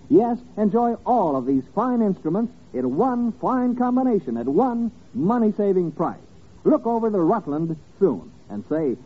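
High, husky male announcer on tinny old radio. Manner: emphatic, formal sales delivery. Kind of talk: 1950s radio announcing and ad reading. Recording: tinny, band-limited old radio broadcast.

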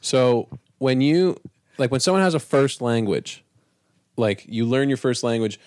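A man's voice: medium pitch, animated, a little slow, darkish tone, dry recording, clean studio sound. A young man chatting casually, asking curious questions and swearing freely. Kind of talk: casual chat.

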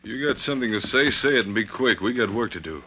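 Low-voiced blustering ranch foreman. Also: expressive, quick pace. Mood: curt, uneasy. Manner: blustering, folksy cowboy speech. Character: gruff, suspicious, evasive ranch foreman in a western. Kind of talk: 1940s western radio acting. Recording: dull vintage radio recording with noise.

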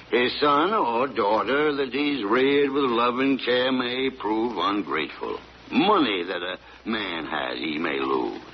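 Folksy, stubborn country father, swooping pitch. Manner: folksy, halting, earnest drawl. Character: stubborn rural father devoted to his hound. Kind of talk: 1940s radio drama acting. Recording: muffled, noisy old radio recording.

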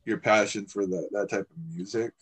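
Man, podcast co-host, lower voice, relaxed, rambling questions. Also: normal speed, breathy edge. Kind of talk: casual interview chat.

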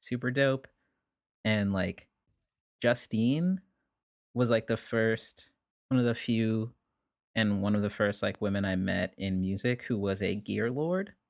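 A young man, a musician guest, telling stories in a relaxed, rambling way, with many "like"s and frequent laughs. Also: fairly low pitch, slow, gravelly, long pauses, dry recording, studio quality. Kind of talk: casual conversation.

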